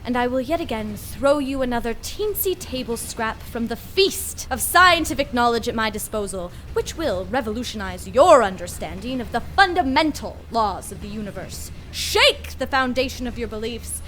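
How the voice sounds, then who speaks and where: A haughty, grandiose woman scientist, played by an actress in a comedic radio play, pitched on the high side. She talks down in wordy, theatrical flourishes, with some noise behind her. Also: lively intonation, moderate pace, hardly any pauses, a bit bright. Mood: haughty, irritated. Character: arrogant woman mad scientist, condescending and grandiose. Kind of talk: comedic audio drama acting.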